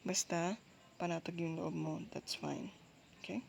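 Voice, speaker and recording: A woman with a Filipino accent doing intimate girlfriend roleplay ASMR, speaking softly and closely in a soothing, coaxing, reassuring way. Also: on the low side, fairly even intonation, a little slow, gravelly. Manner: soothing, coaxing, with frequent gentle check-ins. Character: soft dominant, reassuring, apologetic girlfriend comforting her partner. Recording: faint background noise.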